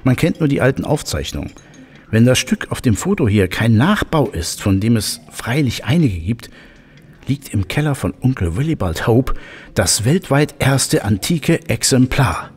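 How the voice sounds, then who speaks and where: An actor playing an enthusiastic, learned professor in an audio drama, lecturing with emphatic repetition. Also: male voice, mid-range voice, big pitch swings, normal speed, a little mellow, breathy edge. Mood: fascinated. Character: enthusiastic, learned male professor of old machines. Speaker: technical expert, professor. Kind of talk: German audio drama dialogue.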